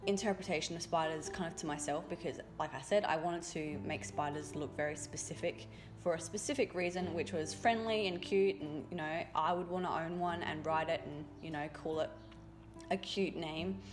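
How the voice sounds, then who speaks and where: A young woman art youtuber talking casually over her drawing, raspy from a cold and rambling with fillers. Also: low voice, moderate pace. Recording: voice-over with loud music behind.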